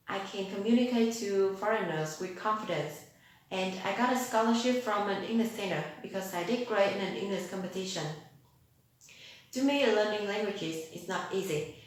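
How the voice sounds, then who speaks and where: A teenage girl from Vietnam speaking English with a Vietnamese accent, giving an earnest, rehearsed contest speech with rhetorical questions. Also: medium pitch. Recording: very echoey room.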